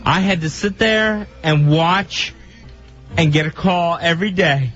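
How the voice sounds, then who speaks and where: A man's voice: fairly high pitch, fairly bright tone. A drunk, upset man hosting a radio show, wailing out his grievances in an emotional rant. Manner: drawn-out, wailing, aggrieved delivery. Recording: dull lo-fi radio recording, music behind.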